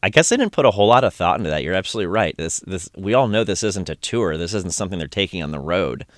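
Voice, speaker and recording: Deep-voiced man hosting a chat. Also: expressive, fast, no echo, clean studio sound. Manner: enthusiastic, rambling with restarts. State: voice strained from singing. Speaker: podcast host. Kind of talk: casual conversational recap.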